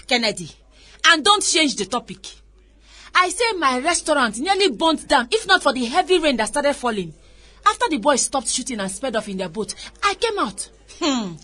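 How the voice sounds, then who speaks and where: An actress playing a sharp-tongued restaurant owner in a radio drama, dramatic and bossy, with a Nigerian accent. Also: female voice, high voice, heavily acted. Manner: scolding, dramatic.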